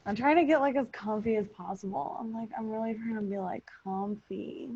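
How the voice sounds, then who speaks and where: A woman's voice: fairly high pitch, fairly quick, warm tone, slightly rough. A woman in a casual podcast chat, talking in a blunt, slangy way. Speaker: podcast cast member. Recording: slightly muffled, lo-fi audio.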